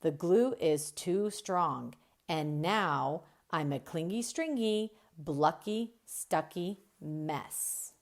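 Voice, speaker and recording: A woman reading a children's book aloud as a storyteller, expressive and deliberate, drawing out key words. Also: low-pitched. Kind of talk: expressive read-aloud narration.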